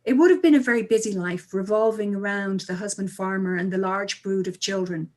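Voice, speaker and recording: Articulate woman, measured interview guest. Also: medium pitch, darkish tone. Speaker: interview guest, cultural speaker. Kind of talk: interview answers, explanatory.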